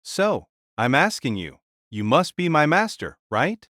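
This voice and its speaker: Man playing a huge male warrior spirit, audio drama, imperious, unflustered, animated delivery, dry sound. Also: measured pace, no echo. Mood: commanding. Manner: imperious, booming, declarative.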